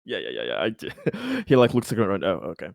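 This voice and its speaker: A man's voice: fairly low pitch, wildly swooping pitch, moderate pace, vocal fry, a little mellow, no echo, clean studio sound. A man playing a tabletop game, chatting casually with a hesitant, agreeable manner.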